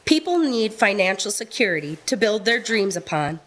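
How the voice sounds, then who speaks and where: A woman's voice: mid-range voice, sing-song, normal speed, a bit bright. An American woman reading scripted narration and announcements for a radio drama.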